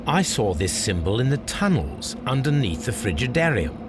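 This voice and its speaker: An actor playing a sharp, methodical detective in a video game cutscene, speaking with precise, commanding assurance. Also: male voice, mid-range voice, big pitch swings, normal speed, noisy background, close to an everyday voice. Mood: intent, focused. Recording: game music over the dialogue.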